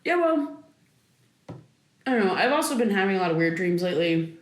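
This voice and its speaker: An actress playing an exhausted, guarded young adult daughter, talking in a halting, casual, defensive way. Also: female voice, medium pitch, sing-song, lightly acted. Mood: tired, defensive. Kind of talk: naturalistic audio drama dialogue. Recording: echoey room.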